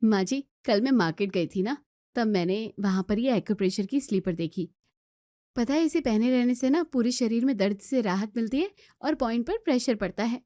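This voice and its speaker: Lady playing a polite young bahu in a hindi family story, eager, respectful, highish voice, dry sound. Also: expressive, normal speed, dark tone, no room sound, lightly acted. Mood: gently persuasive. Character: polite, eager young Indian daughter-in-law.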